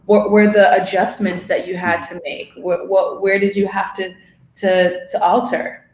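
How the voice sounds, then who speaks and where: A woman hosting a casual conversation, rephrasing her questions haltingly as she interviews guests. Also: mid-range voice, little pitch movement, darkish tone. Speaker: interviewer and host. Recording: echoey, roomy sound.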